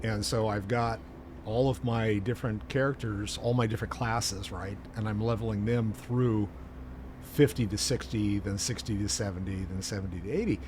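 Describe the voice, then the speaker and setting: A man's voice: on the low side, steady tone, moderate pace, background noise. A man co-hosting a gaming show, chatting casually as he plays an online game. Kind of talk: casual chat while playing an online game.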